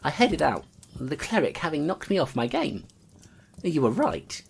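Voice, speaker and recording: A woman's voice: deep voice, very animated, normal speed, vocal fry, very noisy, exaggerated voice. A woman voicing a constable who recounts a murder investigation in a matter-of-fact way. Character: rattled female constable narrating her case. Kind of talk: audio drama acting.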